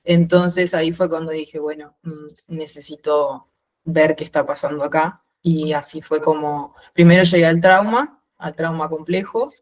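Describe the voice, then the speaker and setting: A woman in her thirties with a mixed Uruguayan and Spanish accent, speaking reflectively and haltingly as a guest in an informal interview. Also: deep voice, steady tone, a little mellow. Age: 30s. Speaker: podcast guest. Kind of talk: informal interview, personal account.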